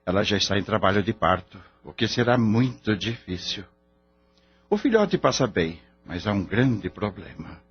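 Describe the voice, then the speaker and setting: An actor playing a grave veterinarian in a radio drama, speaking Brazilian-accented Portuguese in a formal, explanatory manner. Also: male voice, fairly low pitch, very animated, normal speed, husky, heavily acted. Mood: worried, somber. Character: grave male veterinarian explaining a risky foaling. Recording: dull lo-fi radio drama with music.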